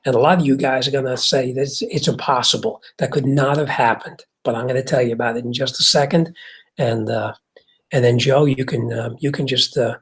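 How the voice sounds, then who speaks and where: Husky New Jersey man talking shop. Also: medium pitch, fairly even intonation, fairly quick. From Jersey City, New Jersey. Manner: conversational, reads chat comments aloud, frequent fillers and restarts. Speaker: host and auto mechanic answering viewer questions. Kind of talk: casual livestream chat.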